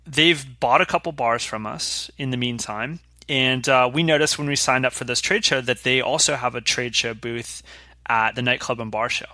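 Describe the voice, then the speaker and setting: Man in his thirties, podcast co-host; bright tone, dry close mic, easygoing storyteller. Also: mid-range voice, normal speed, no echo. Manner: relaxed storytelling, explaining step by step. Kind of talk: casual business podcast chat.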